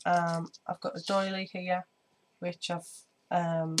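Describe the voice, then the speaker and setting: A woman talks through her craft project in a relaxed, rambling way, filling pauses with ums. Her voice is slightly croaky, lowish and fairly monotone. A faint background hiss sits under the recording. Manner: chatty, many ums, hands busy while talking. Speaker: scrapbooking vlogger. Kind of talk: casual crafting tutorial. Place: indoor home craft table. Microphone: overhead camera on desk, speaker off screen.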